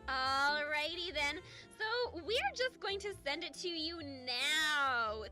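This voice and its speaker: Squeaky, chirpy support agent. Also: female voice, lively intonation, takes it slowly, pushed and bright, faint music behind, heavily acted. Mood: helpful. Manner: sing-song customer-service politeness. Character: overly polite, chirpy tech support phone agent. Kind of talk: comedy sketch voice acting.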